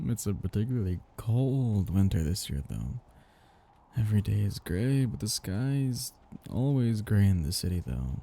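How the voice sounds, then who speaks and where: Man acting as vampire master, low, slow, swooping intonation, velvety, condescending, gently domineering, intimate ASMR roleplay. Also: big pitch swings, vocal fry, full character voice. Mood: languid, musing. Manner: languid, teasing, condescending endearments, soft chuckles.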